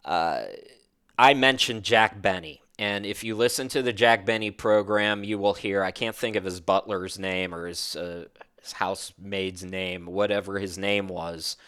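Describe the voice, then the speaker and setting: A man's voice: low-pitched, steady tone, a little slow, fairly bright tone, smooth. A man hosting a podcast, chatting casually and wandering from one thought to the next. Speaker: podcast host.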